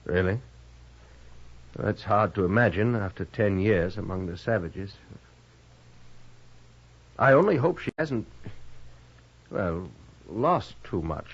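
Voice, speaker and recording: A man's voice: lowish voice, animated, slow pace, long pauses, breathy edge, heavily acted. A man with an American accent playing a haughty, intolerant Eastern husband in a radio western, with a stiff, pompous manner. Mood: stiff, condescending. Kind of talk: 1950s radio western acting. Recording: muffled vintage radio recording.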